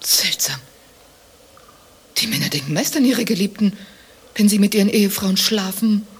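Husky, haughty wife. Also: female voice, medium pitch, lively intonation, normal speed. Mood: wry, evasive. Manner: mocking, disdainful, theatrically indignant. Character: haughty, sardonic wife accused of adultery. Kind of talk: German radio play dialogue, satirical.

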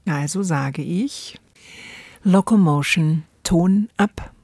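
Low, mellow-voiced woman, dry studio sound. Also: takes it slowly, breathy edge, no echo. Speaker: radio show host. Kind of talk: reflective two-person conversation about radio drama.

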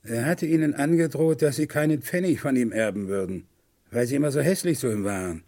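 An actor playing a distraught, haunted murder suspect in a German radio crime play, speaking in a halting, repetitive, anguished way. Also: male voice, medium pitch, mellow, close to an everyday voice. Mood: insistent.

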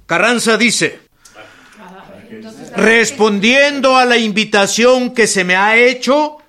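An actor playing a revolutionary general making formal, declamatory speeches in a radio drama. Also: male voice, very high voice, takes it slowly, pushed and bright, exaggerated voice. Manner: declamatory, formal public speaking. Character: male revolutionary general addressing a rowdy assembly. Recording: crowd noise behind the voice.

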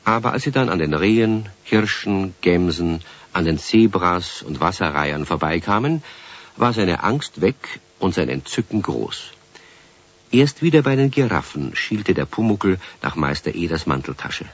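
A male narrator with a deep, husky voice reads a scripted children's story. He tells it as a radio storyteller, on a dull vintage recording. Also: lively intonation, measured pace.

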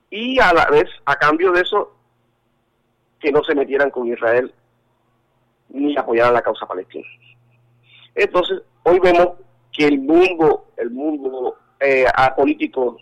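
A Colombian man speaking as an interview guest over a telephone call, halting and restarting his sentences, with many fillers. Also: mid-range voice, a bit bright. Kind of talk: phone interview. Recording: telephone line.

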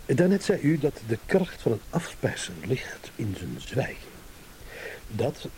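An actor playing a smug, shrewd, eloquent gentleman in a radio drama, with mock courtesy. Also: mid-range voice, low-quality recording. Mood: deliberate, reasoning. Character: smug, shrewd gentleman savouring a battle of wits. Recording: hissy old radio play recording.

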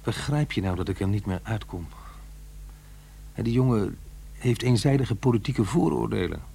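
A man's voice: on the low side, animated, normal speed, vocal fry, warm tone, slight background noise. A man playing a troubled, brooding divorced father in a radio drama, earnest and hesitant. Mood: reflective. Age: middle-aged.

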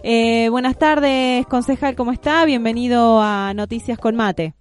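Higher-voiced Argentine woman hosting radio news. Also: steady tone, a little slow, smooth. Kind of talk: live news interview.

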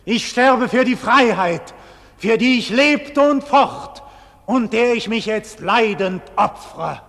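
A condemned, visionary freedom hero in a 1940s radio play. His voice is high for a man and husky, and he declaims slowly, with theatrical gravity and proud defiance. Long hall reverb trails the words in an old broadcast recording. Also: animated, fairly bright tone, exaggerated voice. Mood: defiant exaltation. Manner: solemn, slow, theatrical declamation with wide dynamic swings. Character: condemned heroic nobleman facing execution, proud, visionary, defiant. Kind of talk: 1940s German radio drama acting, classical stage declamation.

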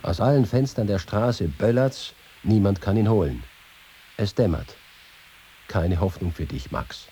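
A man's voice: lowish voice, measured pace, warm tone, breathy edge. A man reading a scripted literary account as a radio feature narrator.

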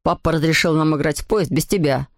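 A woman's voice: sits low, fast talker, no room sound, studio quality, voice pushed down. A woman voicing a cocky, bossy young boy in a storybook, with a smug, taunting manner.

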